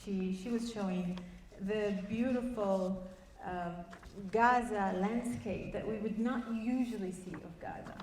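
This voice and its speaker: A woman's voice: mid-range voice, a little slow, few pauses, a little mellow. A woman journalist speaking earnestly and emphatically on a conference panel. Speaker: news media journalist. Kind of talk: panel discussion. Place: conference hall. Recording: roomy hall, mic far off, faint noise.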